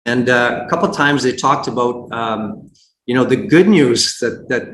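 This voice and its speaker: Male investor guest, mid-pitched, stop-start analysis, roomy sound with faint noise. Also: a bit bright. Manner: halting, with frequent repeats and false starts. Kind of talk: unscripted earnings call commentary.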